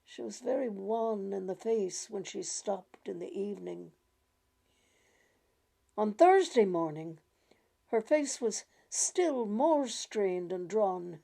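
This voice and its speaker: A woman reads a literary tale aloud with a gentle, deliberate delivery. Her voice is mellow and creaky, and she takes her time over each phrase at a slow pace. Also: fairly high pitch. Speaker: reader of short stories. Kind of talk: gentle literary story reading.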